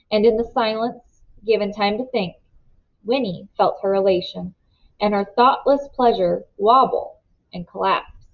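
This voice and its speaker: A woman reading a children's novel aloud in an expressive storytelling manner. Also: on the high side, muffled recording. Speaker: reader.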